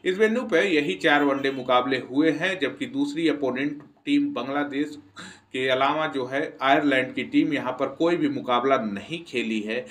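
A young man hosting a cricket channel, reading a brisk, informative pitch report as a voice-over and addressing viewers as friends. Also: a bit bright.

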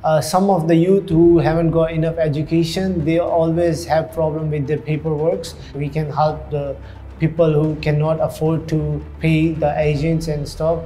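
A man's voice: fairly high pitch, little pitch movement, mellow, soft background music. A man in his thirties from Hong Kong, a youth program coordinator, talking earnestly in a documentary interview with many uh fillers. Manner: earnest, halting with frequent uh fillers. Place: indoor community centre room.

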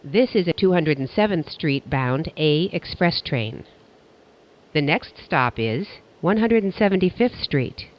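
A man's voice: on the high side, lively intonation, a little slow, highs cut off. A man reading scripted transit announcements as a train announcer, in formal, segmented phrasing.

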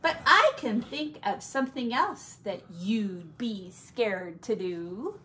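A woman's voice: mid-range voice, animated, slow pace, sharp tone, a little hiss or hum. A woman voicing a friendly, daring male buffalo in a storybook, cheerful and inviting. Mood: pleased, daring.

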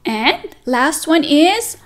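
High-voiced preschool teacher lady, slow and singsongy, cheering the kids on, faint music under it. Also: very animated. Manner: slow, sing-song and encouraging, with gasps of praise. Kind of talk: circle-time lesson for toddlers.

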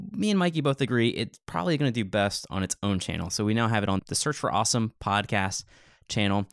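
A man's voice: on the low side, smooth, dry recording, studio quality. A man co-hosting a podcast, chatting and commenting casually. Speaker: podcast co-host.

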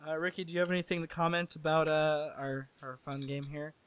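A man's voice: a little high, steady tone, a little fast, clear, resonant tone, dry recording. A man co-hosting a casual chat, prompting the others to speak. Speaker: co-host.

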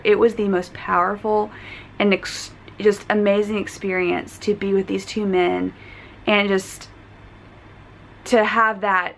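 Hoarse, gravelly young woman vlogging. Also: medium pitch, a bit bright, a little hiss or hum. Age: young adult. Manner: earnest, reflective, many fillers and restarts. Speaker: travel vlogger. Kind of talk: casual vlog chat. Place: hotel room at night. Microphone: phone camera at arm's length.

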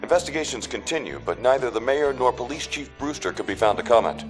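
An actor playing a formal news reporter reading a report. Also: male voice, mid-range voice, lively intonation, fast talker, natural voice, rough recording. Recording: music clearly under the voice.

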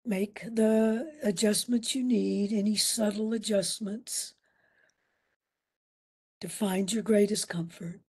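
A woman leading a guided meditation as instructor, speaking soothingly in short phrases with long pauses between them. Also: medium pitch, steady tone, warm tone, breathy edge.

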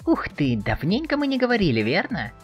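A woman's voice: medium pitch, a little fast, highs cut off, soft background music. A woman playing an eager, naive teenage boy, chatty and inquisitive, in a dramatic webcomic reading. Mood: cheerful. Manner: excitable, inquisitive, expressive.

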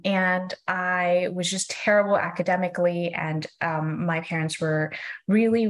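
A woman of about forty from Hong Kong, giving a casual, self-deprecating artist talk over a video call. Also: fairly low pitch. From Hong Kong, later Hawaii. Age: 30s or early 40s. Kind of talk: casual, personal slide presentation.

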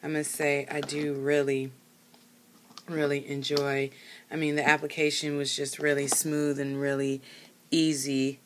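Woman, 30s, low pitch, hesitant casual reviewer, small room, desk cam. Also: flat delivery, unhurried, slight background noise. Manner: rambling, hesitant, many fillers and self-corrections. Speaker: nail polish reviewer. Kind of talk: casual product review while painting nails.

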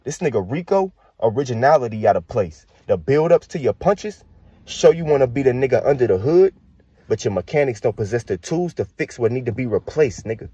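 Give this a young American man rapping battle verses with no beat. His voice is very husky and rough, and his pitch swings in a lively way as he taunts and boasts. The sound is dry and close. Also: fairly quick, dry recording, highs cut off. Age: young adult. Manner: aggressive, boastful, taunting delivery. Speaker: battle rapper. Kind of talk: a cappella battle rap verses.

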